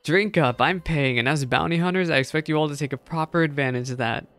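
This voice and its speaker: A man voicing a hearty, boisterous bounty hunter in a game, loud and rallying. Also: on the high side, fast, dry recording.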